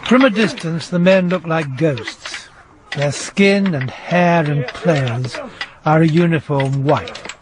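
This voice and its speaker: A man presenting a scripted true-crime radio programme, narrating in a measured storytelling manner. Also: on the high side, noisy background.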